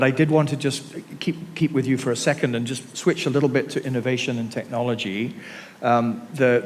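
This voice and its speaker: A man's voice: medium pitch, slight background noise. A man moderating a conference panel, asking questions with fillers and restarts. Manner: hesitant, with filler words and restarts. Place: conference hall. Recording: reverberant hall with faint ambience.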